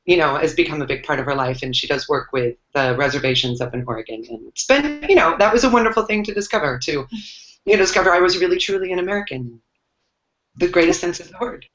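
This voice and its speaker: A woman's voice: low-pitched, lively intonation, fast, fairly bright tone. A woman on a panel, giving a rambling, reflective introduction full of fillers. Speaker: panel guest. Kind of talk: casual self-introduction on a video call. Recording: echoey room, dull lo-fi sound.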